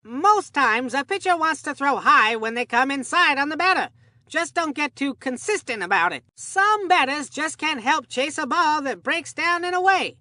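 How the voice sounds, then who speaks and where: An excitable kid sports announcer from a cartoon baseball game. The voice is very high and bright, with a close, dry sound. The delivery is folksy and gushing, full of old-fashioned ballpark slang. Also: female voice, moderate pace, dry recording. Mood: folksy, advising. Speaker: sports commentator. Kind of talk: scripted game sports commentary.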